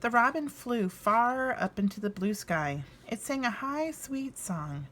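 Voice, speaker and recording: A woman narrating a children's storybook expressively, with dramatic pauses and emphasis. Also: fairly high pitch, expressive, a bit bright. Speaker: storybook reader.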